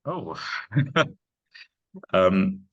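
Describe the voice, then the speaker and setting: Deep-voiced reflective male author from Pakistan. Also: very animated, extremely slow, fairly bright tone, no room sound, lo-fi sound. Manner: earnest, reflective, frequent "you know" and "right". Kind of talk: conversational literary talk. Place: literary festival stage.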